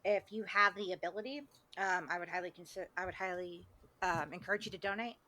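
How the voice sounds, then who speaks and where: Bright-voiced woman chatting on a podcast. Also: lowish voice, steady tone, fast. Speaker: podcast panelist. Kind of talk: casual chat.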